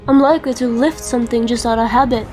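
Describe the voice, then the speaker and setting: A scruffy little rogue with hero pretensions, voiced by a woman. The talk is gruff, slangy and rough in grammar, pitched high for a fantasy comic dub, with faint music underneath. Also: fast, dark tone, lightly acted. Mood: musing, self-satisfied. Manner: colloquial slurred vernacular with broken grammar. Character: scruffy uneducated thief, gruff, sly, would-be hero.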